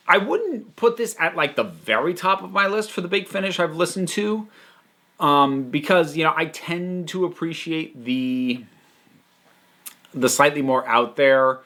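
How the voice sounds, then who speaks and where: Bright-voiced man giving an emphatic casual review. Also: on the high side. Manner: emphatic, halting, digressive, thinking aloud. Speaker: host reviewing audio dramas. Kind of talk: casual unscripted review commentary.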